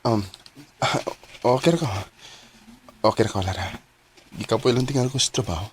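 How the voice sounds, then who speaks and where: A man's voice: mid-range voice, animated, fairly quick, slight background noise, natural voice. A young man voicing a hesitant, regretful lover who narrates his own story in a radio drama. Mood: wistful. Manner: soft, hesitant, reflective. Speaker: narrator and lead.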